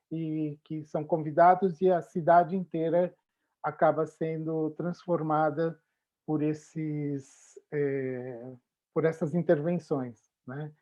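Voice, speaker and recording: A man presenting as a guest researcher, explaining in a relaxed, easygoing way during an online panel talk. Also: on the high side, lo-fi sound. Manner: easygoing, explaining in loose phrases.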